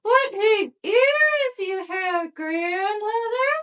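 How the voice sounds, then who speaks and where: A woman voicing a sweet young girl in a red cloak through a ventriloquist doll, speaking high, slow and sing-song. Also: expressive, a bit bright, heavily acted. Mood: innocent.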